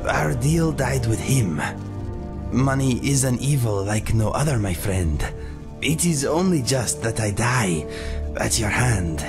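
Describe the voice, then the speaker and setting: An actor playing a guilt-ridden cat-man mercenary in a fantasy game, speaking gravely and formally. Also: mid-range voice, lively intonation, slow pace, over music. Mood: remorseful, weary. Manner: formal, grave, avoids contractions. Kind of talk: fantasy game character dialogue.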